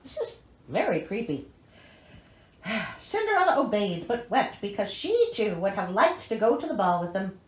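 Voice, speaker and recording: A woman's voice: mid-range voice, big pitch swings, moderate pace. A woman reading a storybook aloud as host, with theatrical delivery and sarcastic, incredulous comic asides. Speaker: host reading a fairy tale with commentary. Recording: echoey, roomy sound.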